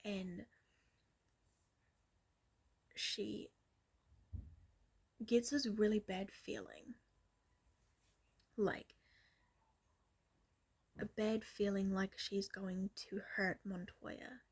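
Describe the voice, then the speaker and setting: A young woman telling a story in a soft ASMR-style whisper, rambling with lots of fillers. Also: medium pitch, fairly even intonation, drawn-out words, gravelly, pauses often, slightly rough.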